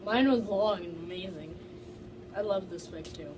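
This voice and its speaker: A woman's voice: medium pitch, moderate pace. A young woman with a brash, teasing way of chatting casually among friends. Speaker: participant in group fan fiction reading. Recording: far from mic, noisy room.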